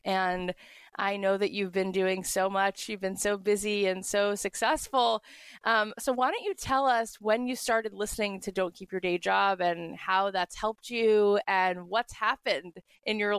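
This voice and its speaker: A woman's voice: medium pitch, steady tone, measured pace, clean tone, no echo. Bubbly woman host, dry close mic. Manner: bubbly, enthusiastic questioning. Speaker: podcast host. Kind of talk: interview conversation.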